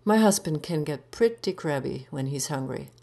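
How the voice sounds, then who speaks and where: A woman reading her own short story aloud in a measured, expressive storytelling manner with deliberate pauses. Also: low-pitched, gravelly. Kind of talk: literary short story narration.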